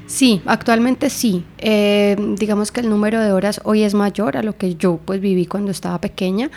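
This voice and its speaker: Young Colombian woman as interview guest, measured and reflective, mid-pitched, pauses with "eh" and "digamos". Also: fairly even intonation, a little mellow. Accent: Colombian Spanish. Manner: thoughtful, with many fillers like "eh" and "digamos". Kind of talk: interview answers.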